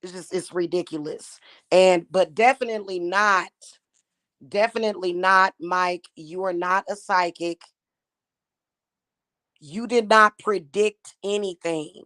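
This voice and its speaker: A woman in her thirties, a spiritual YouTuber talking casually to her viewers, her voice slightly hoarse and her talk aggrieved and repetitive. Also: on the low side, steady tone, a little slow, a bit bright, no echo, clean studio sound. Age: 30s. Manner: aggrieved and repetitive, with frequent fillers.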